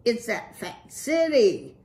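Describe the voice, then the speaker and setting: A woman's voice: on the high side, lively intonation, slow, darkish tone, pitched above the performer's own voice. A woman voicing a cool, slang-talking young male city mouse with a laid-back, breezy drawl. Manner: laid-back surfer slang, keeps saying "dude".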